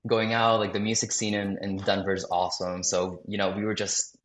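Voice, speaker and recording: A man's voice: fairly low pitch, flat delivery, brisk, echoey room. A young man from South Florida, a sales director, chatting casually as a podcast guest and rambling with lots of fillers and restarts. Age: young adult. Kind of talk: casual interview talk.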